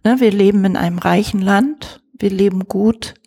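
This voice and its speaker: Husky-voiced activist lady answering questions, earnest. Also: mid-range voice, moderate pace, dark tone. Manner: earnest, conversational. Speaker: refugee support activist.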